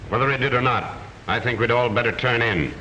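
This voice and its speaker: An actor playing a calm, practical hotel keeper in 1940s radio drama style. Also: male voice, mid-range voice, fairly quick, a little hiss or hum, natural voice, low-quality recording.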